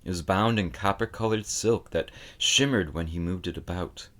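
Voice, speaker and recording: A man reading a children's fantasy novel aloud as scripted narration, with dramatic, deliberate phrasing. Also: low voice, fairly bright tone. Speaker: audiobook reader.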